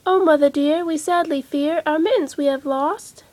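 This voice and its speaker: Woman as a high, sing-song little kitten. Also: normal speed, darkish tone, close to an everyday voice. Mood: sorrowful. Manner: sing-song mewing delivery. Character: three little kittens, apologetic and eager to please.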